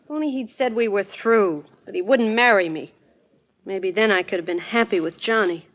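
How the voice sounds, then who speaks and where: An actress playing a plain-spoken, wounded magician's assistant under suspicion, in earnest, colloquial 1940s radio style. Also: female voice, medium pitch, moderate pace, highs cut off, close to an everyday voice. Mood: hurt, earnest.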